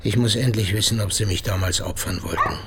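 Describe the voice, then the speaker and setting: Radio drama: male actor as resigned, brooding middle-aged ex-prisoner, lowish subdued voice, slight background noise. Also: fairly quick, a bit bright, natural voice. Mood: brooding, insistent. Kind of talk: German radio drama acting.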